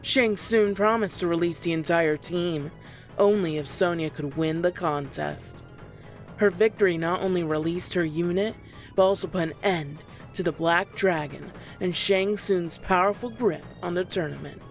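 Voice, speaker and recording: Woman with lowish voice doing dramatic narration, bit of music behind. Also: moderate pace.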